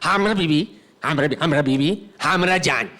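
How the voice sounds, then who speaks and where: A man's voice: a little high, normal speed, noisy background. A man playing a pleading, desperate character in a stage comedy sketch, speaking in broken comic grammar.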